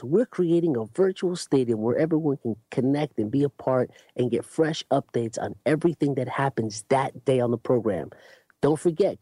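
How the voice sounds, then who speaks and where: A male radio co-host with a mellow, warm tone, recorded dry and close. He speaks in an upbeat, promotional way. His voice sits at a middle pitch. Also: no room sound. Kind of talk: station promo read.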